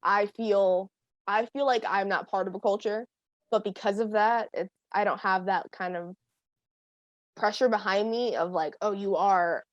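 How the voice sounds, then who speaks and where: A woman's voice: medium pitch, fairly even intonation, unhurried, no echo, highs cut off. Teen girl guest, chatty and filler-heavy, dry close sound. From United States. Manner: chatty, many fillers and laughs. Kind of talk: casual chat.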